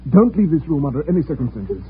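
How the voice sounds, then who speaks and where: A man playing a concerned, decisive gentleman in an old radio drama, with an earnest and urgent manner. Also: on the high side, very animated, fast. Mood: firm, protective. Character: concerned, decisive gentleman, protective male confidant. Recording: tinny old radio recording, faint noise.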